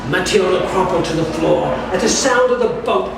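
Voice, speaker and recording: A man's voice: sits high, lively intonation, normal speed, creaky. A man reading fiction aloud at a live event, now and then stumbling over and repeating words. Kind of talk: live literary story reading. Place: live event at a steampunk carnival. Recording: echoey distant recording, loud event noise. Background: loud ambient event noise.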